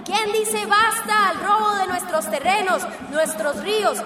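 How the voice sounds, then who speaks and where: A woman's voice: very high-pitched, steady tone, unhurried. An actress playing a defiant female protest leader in a radio drama, chanting and declaiming to rally people. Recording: echoey, distant, loud crowd and music.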